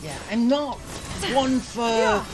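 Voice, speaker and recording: A game streamer giving casual playthrough commentary in a halting way, repeating phrases. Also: mid-range voice, sing-song. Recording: game music behind the voice.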